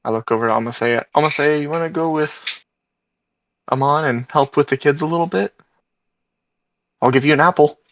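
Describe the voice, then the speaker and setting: A man's voice: fairly high pitch, a little fast, pauses often, no room sound. A man playing a tabletop game, roleplaying casually over a dull, lo-fi microphone.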